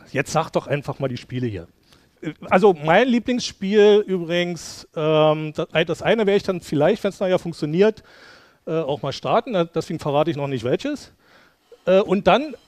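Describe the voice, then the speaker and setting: A middle-aged man with a slightly high voice gives a loose, chatty conference talk in a lecture hall. He rambles, restarts his sentences and fills pauses with hums. The sound is close and dry from a headset mic. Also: darkish tone. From Brandenburg, Germany. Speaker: conference speaker.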